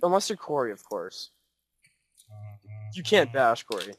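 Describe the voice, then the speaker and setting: A man's voice: medium pitch, big pitch swings, unhurried. A young man co-hosting a casual podcast, joking and tripping over his words as he talks with friends in one room. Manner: joking, stammering restarts. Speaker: podcast co-host. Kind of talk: casual chat. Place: shared room with co-hosts. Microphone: clip-on mic on shirt.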